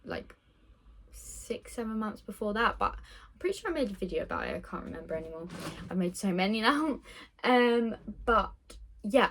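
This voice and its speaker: 19 yr old woman rambling thru a q&a vlog on her phone, lots of ums, laughs at herself, mid pitch. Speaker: vlogger. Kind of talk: casual Q&A vlog. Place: indoor room, window open to traffic.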